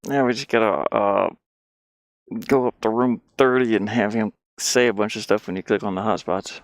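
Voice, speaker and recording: A man casually thinking out loud in an offhand, muttering way as he works through game development on screen. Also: on the low side, fairly even intonation, moderate pace, no echo, studio quality. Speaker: indie game developer, streamer. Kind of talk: casual think-aloud screen-share commentary while working.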